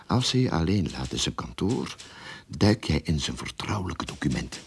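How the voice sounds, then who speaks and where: An actor playing a scheming, bossy boss in a radio play, blustering, wheedling and evasive. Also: male voice, very deep voice, sing-song, normal speed, vocal fry, breathy edge, full character voice. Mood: scheming, reassuring.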